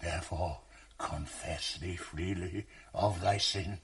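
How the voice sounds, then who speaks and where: A brooding, jealous general: low, husky, slow, measured verse, whispers that break into rage. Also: male voice, steady tone, heavily acted. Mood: grave, menacing. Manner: brooding, swings from hushed whispers to outbursts. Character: tormented jealous general, grave and commanding. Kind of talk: classic stage verse radio drama.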